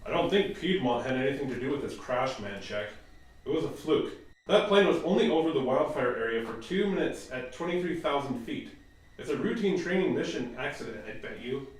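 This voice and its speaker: An actor playing a formal, matter-of-fact military officer in a radio drama, reporting in a clipped manner. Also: male voice, medium pitch, expressive, fairly quick, close to an everyday voice. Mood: dismissive, assured. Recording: very echoey room, distant from mic.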